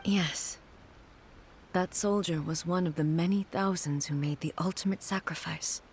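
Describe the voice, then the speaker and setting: A woman voicing a serene, regal female ruler in a video game, speaking in a calm, measured, commanding way. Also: fairly low pitch, a little hiss or hum. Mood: explaining.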